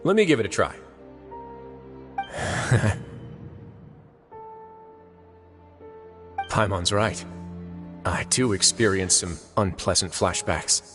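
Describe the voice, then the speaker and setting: A man's voice: on the low side, expressive, over music. A man playing a composed, courteous and sly duke in a video game, speaking politely with dry understatement. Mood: calm, teasing. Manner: unhurried, polite, dryly understated. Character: composed, wry adult male duke in authority, courteous and sly.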